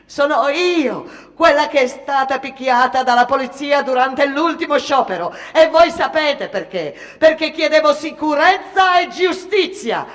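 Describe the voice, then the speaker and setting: A woman's voice: high-pitched, animated, unhurried, hardly any pauses, voice pushed up. A woman voicing a fiery young female striker giving a declamatory protest speech to factory workers. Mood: defiant, rousing. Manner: declamatory, shouting slogans. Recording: long reverberant hall.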